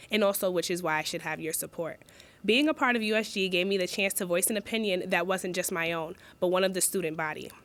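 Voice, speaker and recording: A woman's voice: medium pitch, a bit bright. Earnest young woman reading a speech. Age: young adult. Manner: earnest, restarts when she slips. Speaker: student election candidate. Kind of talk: read campaign speech.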